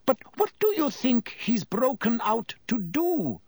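A man's voice: very high voice, sing-song, unhurried, darkish tone, husky, no echo, clean studio sound, pitched above the performer's own voice, heavily acted. A man voicing a nosy, eager, opinionated woman in an audiobook, with an inquisitive, gossipy manner.

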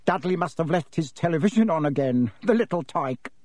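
A man's voice: fairly high pitch, lively intonation, brisk, darkish tone, no echo, studio quality, voice pushed up. A man voicing a bullying, short-tempered middle-aged uncle in an audiobook. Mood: jovial, covering. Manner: menacing, through gritted teeth. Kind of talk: audiobook character voice.

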